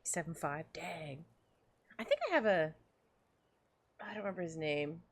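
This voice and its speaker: A woman's voice: sits low, measured pace. A young woman casually commenting while she plays a game, giggling and rambling as she reacts. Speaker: game streamer.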